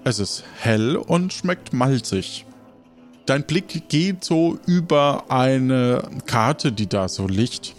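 A man's voice: mid-range voice, lively intonation, slow, faint music behind. A man narrating a role-playing game as game master.

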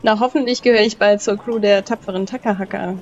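A woman's voice: medium pitch, fairly even intonation, fast. A woman playing a tabletop game, improvising casually in a polite, hesitant way. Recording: band-limited dull audio, music behind.

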